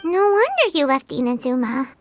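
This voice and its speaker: A woman voicing a tiny, chirpy girl fairy companion in a video game, with a squeaky childlike voice and a perky manner. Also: very animated, normal speed. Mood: curious, impressed. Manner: perky, refers to herself by name. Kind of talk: scripted video game voice acting. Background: background music.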